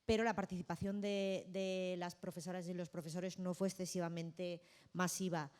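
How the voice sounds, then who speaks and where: A woman's voice: fairly low pitch, level tone, normal speed, a little mellow, smooth. A woman, a teacher, making hesitant but committed remarks at an assembly.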